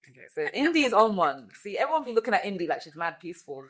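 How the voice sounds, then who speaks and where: A woman hosting a comedy podcast, chatting casually with playful teasing and drawn-out words. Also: medium pitch, big pitch swings. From Ghana. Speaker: podcast host. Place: studio podcast.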